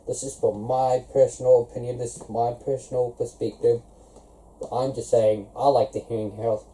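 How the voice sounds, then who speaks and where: A young man from South Africa explaining things step by step in a relaxed, casual vlog. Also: mid-range voice, moderate pace, rough recording. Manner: relaxed, explaining step by step, many "so" and "of course" links. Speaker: vlogger.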